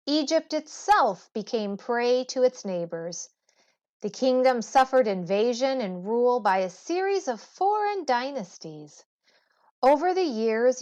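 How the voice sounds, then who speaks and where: Slow-paced woman reading a textbook aloud. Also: medium pitch, highs cut off. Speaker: teacher. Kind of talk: educational read-aloud of a history textbook with brief explanatory asides.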